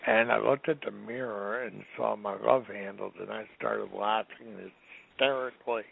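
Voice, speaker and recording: A man in his fifties with a low, gravelly voice. He tells a reflective story, and the sound is tinny and phone-like. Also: steady tone, moderate pace. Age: 50s.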